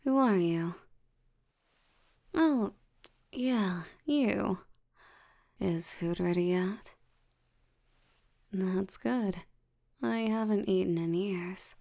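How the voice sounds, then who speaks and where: A woman playing a lazy, sleepy, ancient demon in an ASMR roleplay, drowsy and unbothered, with a soft tired voice. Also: mid-range voice. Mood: drowsy, hungry. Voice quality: soft, breathy, creaky tired voice. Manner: drowsy, slow, drawling, unbothered. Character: sleepy, lazy female sloth demon, ancient but youthful.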